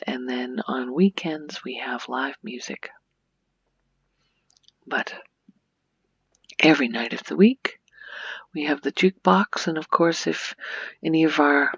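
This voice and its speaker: Breathy, hushed woman, slow ASMR delivery. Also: sits low, no room sound, lo-fi sound. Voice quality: soft, breathy, often near-whispered. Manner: gentle, unhurried, hushed. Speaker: video creator giving a game tour. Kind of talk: soft-spoken ASMR game tour narration.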